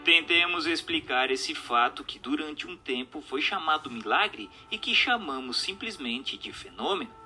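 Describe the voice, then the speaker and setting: Brazilian man, scripted book narrator. Higher-set, very bright, forceful delivery over music. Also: lively intonation, normal speed, few pauses.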